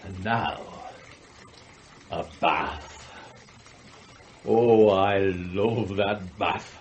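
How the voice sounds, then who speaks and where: A man's voice: low voice, drawn-out words, slight background noise. An actor playing a wealthy middle-aged magnate in a radio play, savouring his words in rhapsodic, declamatory speeches. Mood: relaxed, indulgent. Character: wealthy theatrical male magnate, morbidly eloquent about his grim past. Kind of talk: radio drama acting.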